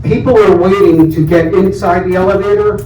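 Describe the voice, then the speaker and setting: Earnest man warning, mellow tone. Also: high voice, fairly even intonation, moderate pace, slight background noise. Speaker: resident. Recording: echoey room, distant mic.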